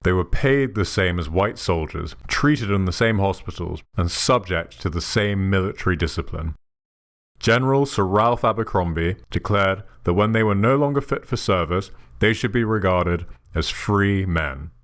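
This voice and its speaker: A man giving measured, scripted documentary narration. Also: low-pitched. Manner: measured, even documentary delivery. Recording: soft music underneath.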